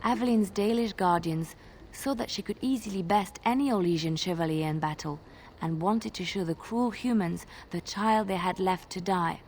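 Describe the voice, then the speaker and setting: An actress playing a gentle young woman bard in a video game, telling tales in a measured, expressive way. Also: medium pitch, a little hiss or hum, lightly acted, poor audio. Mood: earnest storytelling. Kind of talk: video game dialogue, fairy-tale storytelling.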